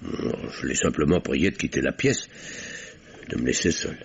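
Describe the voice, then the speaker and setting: An actor playing a pompous, self-righteous middle-aged French uncle in a 1950s-style radio drama, speaking formally and defensively. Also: male voice, a bass voice, normal speed, husky, exaggerated voice. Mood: indignant, haughty. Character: pompous, indignant, self-righteous older uncle under questioning. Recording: dull vintage radio recording.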